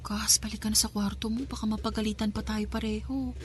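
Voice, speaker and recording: An actress playing a gentle, downtrodden young housemaid in a Filipino radio drama, with a soft, emotional, pleading delivery. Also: female voice, medium pitch, flat delivery, a little fast, a little hiss or hum, natural voice. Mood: puzzled, cautious.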